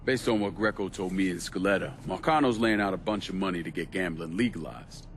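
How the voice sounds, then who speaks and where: Low-voiced, commanding crime boss. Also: male voice, fairly quick, a little hiss or hum. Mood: cold, resolute. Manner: terse, commanding. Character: cold, menacing young crime boss waging war on the mob. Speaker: video game protagonist. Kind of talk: cinematic game dialogue.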